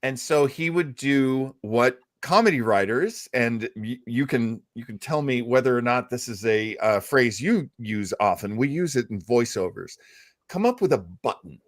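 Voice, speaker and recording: A man's voice: medium pitch, lively intonation, moderate pace. A man hosting a casual live talk show, telling stories in a chatty, jokey, self-deprecating way. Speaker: host. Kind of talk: casual live show chat about old-time radio.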